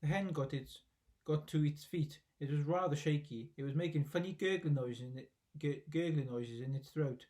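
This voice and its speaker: A man's voice: on the high side, moderate pace, mellow. A man narrating a children's story expressively, as a reader reading aloud from a book.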